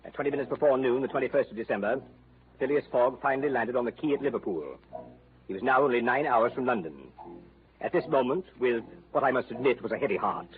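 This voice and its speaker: A man playing an English police detective in a radio drama, narrating in measured, log-like phrases. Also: medium pitch, a little hiss or hum, close to an everyday voice. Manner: measured log-like narration, frantic when pleading. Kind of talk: 1940s radio drama acting. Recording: tinny old radio broadcast with music.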